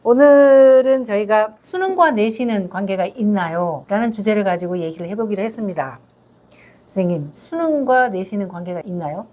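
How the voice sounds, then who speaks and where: A woman's voice: medium pitch, expressive, normal speed, warm tone. Gyeongsang woman hosting an interview. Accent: Gyeongsang Korean. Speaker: host.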